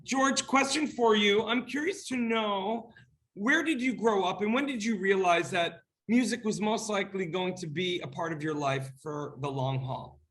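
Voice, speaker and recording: A man's voice: high voice, normal speed, fairly bright tone. A man hosting an interview, effusive and upbeat in his questions. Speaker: interview host. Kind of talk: enthusiastic interview host.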